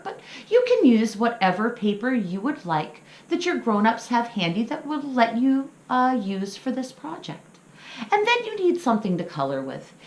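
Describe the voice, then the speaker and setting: Echoey, lively woman teaching children. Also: mid-range voice. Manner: simple, encouraging explanations aimed at young children. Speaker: children's story time host. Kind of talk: children's craft lesson, talking to kids. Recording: echoey room with faint background noise.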